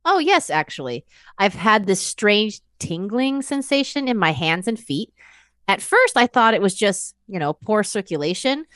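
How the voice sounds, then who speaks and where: A woman's voice: medium pitch, a bit bright, no echo, clean studio sound. A woman playing a worried, forthcoming patient in her mid-fifties, earnest and conversational, in an acted exam-practice consultation.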